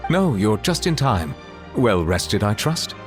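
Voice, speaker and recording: Formal, low-voiced male officer. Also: very animated, takes it slowly. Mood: courteous. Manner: formal, polite, precise. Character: formal, courteous male officer, measured and cautious. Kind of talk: video game voice acting. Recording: background music under the voice.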